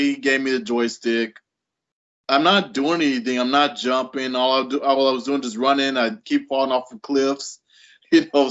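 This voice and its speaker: A man in his late thirties with a fairly high voice that leaps up and down as he spins a casual story, chuckling between thoughts. His audio comes through dull and lo-fi. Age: late 30s. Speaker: guest writer.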